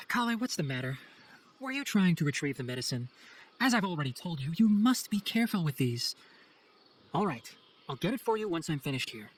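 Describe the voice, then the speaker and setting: Brisk, high-voiced young chief ranger. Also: male voice, big pitch swings, slight background noise. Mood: exasperated. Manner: articulate, dryly sarcastic, instructive. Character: brisk, clever, exacting young male chief forest ranger. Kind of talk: video game cutscene acting. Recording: faint music behind the voice.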